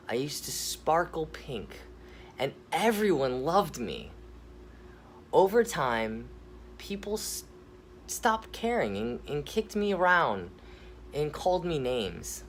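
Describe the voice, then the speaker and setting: A man voicing a lonely, sad female talking rock who speaks slowly and wistfully. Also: mid-range voice, very animated, a little hiss or hum. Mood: mournful. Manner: slow, wistful, mournful.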